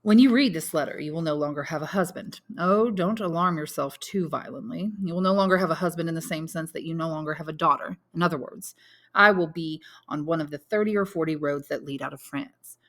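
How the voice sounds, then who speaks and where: A woman's voice: sits low, a little fast. A woman reading an audiobook, voicing a bitter banker husband in a formal, sardonic, pointed manner. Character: bitter, sarcastic banker husband writing farewell letter.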